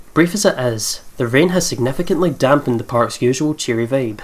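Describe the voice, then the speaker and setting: A man reading a visual novel aloud as a let's player, with sweary, joking asides. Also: mid-range voice. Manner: sweary, jokey.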